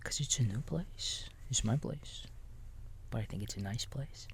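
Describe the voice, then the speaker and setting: A man playing a kindly raccoon babysitter in a bedtime ASMR roleplay, whispering softly and gently reassuring a small child in a folksy, halting way. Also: medium pitch. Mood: soothing, playful. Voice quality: soft, breathy whisper. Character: kindly big-brotherly male raccoon babysitter.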